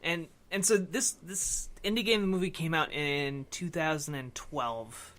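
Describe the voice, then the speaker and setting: Bright, higher-pitched male podcast host. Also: measured pace. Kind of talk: casual film discussion.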